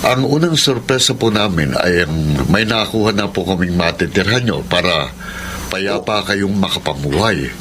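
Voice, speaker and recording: An actor playing a kindly, reassuring charity benefactor in a Filipino radio drama. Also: male voice, sits low, expressive, normal speed, fairly bright tone, a little hiss or hum.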